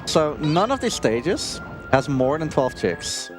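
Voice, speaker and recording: A man's voice: a little high, sing-song, slow. A man giving casual, chatty, self-deprecating live commentary while speedrunning a video game. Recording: live marathon stream with game audio. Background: game music.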